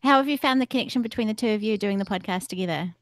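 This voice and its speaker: A woman hosting an online interview who speaks quickly and brightly encourages her guests. Her voice is dry and close to the mic. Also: mid-range voice, dry recording. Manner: upbeat, encouraging. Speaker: podcast host.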